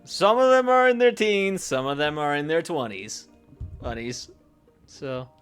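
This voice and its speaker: A man livestreaming casually, thinking aloud in a halting way and often trailing off mid-thought. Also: fairly high pitch, animated, fairly bright tone, clean tone, faint music behind. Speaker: streamer.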